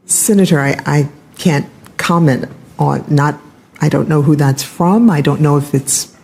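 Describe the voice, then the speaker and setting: Casting: older American female official on the witness stand, mellow, raspy, measured and firm. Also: low voice. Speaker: ambassador and chief diversity officer testifying as witness. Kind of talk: formal testimony. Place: congressional hearing room.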